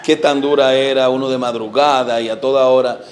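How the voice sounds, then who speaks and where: Un hombre dominicano de unos cuarenta años, evangelista, cuenta su testimonio con acento dominicano, serio y con muchas pausas y muletillas. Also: male voice, mid-range voice, steady tone, background noise. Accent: Dominican Spanish. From Dominican Republic. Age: 40s. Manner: earnest, hesitant with frequent fillers, trails off softly. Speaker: evangelist guest. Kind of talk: testimony interview.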